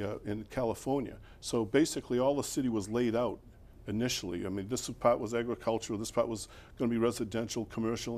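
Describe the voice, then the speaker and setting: A man's voice: mid-range voice, a little mellow. Older Boston man, chatty storyteller. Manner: rambling with fillers and chuckles. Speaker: former mayor, guest. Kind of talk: interview, relaxed storytelling.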